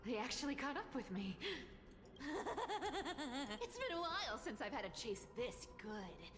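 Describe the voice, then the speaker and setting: A woman's voice: a little high, very animated, a little slow. A woman voicing a playful, cocky cat thief in a video game, theatrically teasing with whispers and giggles. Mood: exhilarated, teasing. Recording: loud game music behind. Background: game music.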